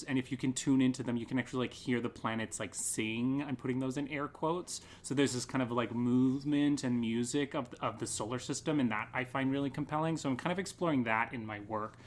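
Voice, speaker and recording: A man's voice: mid-range voice, steady tone, fairly quick, hardly any pauses. A man chatting casually as a theatre artist interviewed on a podcast, with an enthusiastic, playful manner. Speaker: guest, theatre artist and choreographer.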